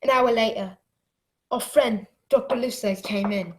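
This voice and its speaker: A woman's voice: on the high side, a little slow, noisy background, lightly acted. A woman playing a polite, earnest young boy who narrates his adventure in a radio play. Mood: tense storytelling.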